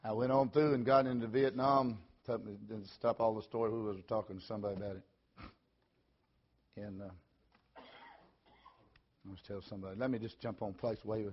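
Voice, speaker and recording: A man, preaching in a folksy storytelling way, repeating phrases and swinging between loud and soft. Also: fairly low pitch, fairly even intonation, moderate pace, pauses often. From Tennessee, USA. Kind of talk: sermon storytelling. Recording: dull lo-fi recording.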